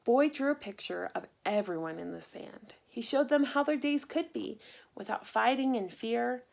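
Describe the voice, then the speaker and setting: A woman reading a picture book aloud for children in an expressive, deliberate way, acting out the story. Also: a little high, gravelly. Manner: animated, deliberate, acts out sound effects. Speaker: storybook reader.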